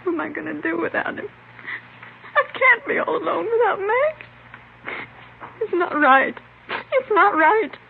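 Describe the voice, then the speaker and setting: A woman's voice: very high-pitched, expressive, unhurried, gravelly, pauses often, exaggerated voice. An actress playing a distraught, pleading wife of a convicted man in an old radio crime drama. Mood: tearful despair. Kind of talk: 1940s-50s radio crime drama acting. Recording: noisy, narrow-band old radio recording.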